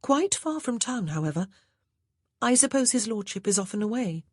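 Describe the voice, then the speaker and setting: A woman's voice: a little high, big pitch swings, moderate pace, darkish tone, dry recording, clean studio sound, pitched above the performer's own voice. A woman voicing a poised young lady of good family who speaks in formal, refined period speech. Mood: polite. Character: young genteel lady, newly married, poised.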